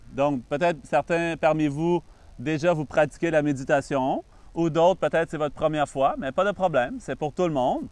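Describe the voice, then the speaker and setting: A Canadian man with a Québécois French accent, a Buddhist monk giving a calm, patient introductory talk on meditation with frequent pauses. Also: fairly high pitch, clean tone, no room sound.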